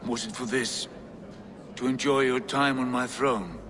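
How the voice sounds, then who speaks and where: A man's voice: medium pitch, steady tone, unhurried, background noise, close to an everyday voice. An actor playing a proud, suspicious Viking jarl in his thirties, theatrical and accusing, in a video game. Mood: bitter, suspicious.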